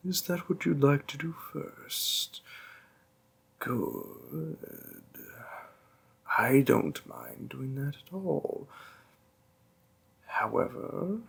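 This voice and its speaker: A man playing a doting demon boyfriend in an intimate roleplay audio, speaking softly to the listener with a husky, gravelly voice and lingering pauses. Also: medium pitch, expressive, heavily acted. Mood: gently coaxing. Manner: slow, deliberate, hushed, with lingering pauses. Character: doting, gentle male demon boyfriend, coaxing and protective.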